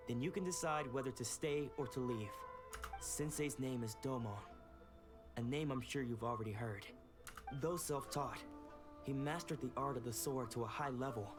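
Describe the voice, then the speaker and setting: An actor playing an earnest, protective young sword disciple in a video game, speaking formally. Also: male voice, mid-range voice, close to an everyday voice. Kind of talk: video game dialogue acting.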